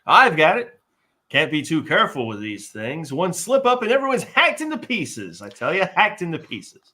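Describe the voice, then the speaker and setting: A man's voice: on the high side, wildly swooping pitch, normal speed, pushed and bright, full character voice. A man playing a jittery, boastful, bumbling would-be warrior in a comic live table read. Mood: nervous bluster. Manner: blustering, nervous, exaggerated.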